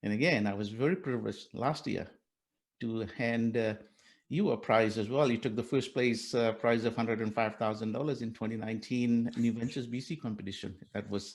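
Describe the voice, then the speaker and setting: A man's voice: medium pitch, normal speed. A man moderating a panel discussion, cordial and welcoming, speaking with many fillers and restarts.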